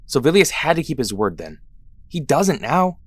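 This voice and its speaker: Guy doing careful young superhero, sincere, measured, no room sound, audio drama. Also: fairly high pitch, sing-song, no room sound. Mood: cautious, weary. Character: cautious, level-headed young male superhero.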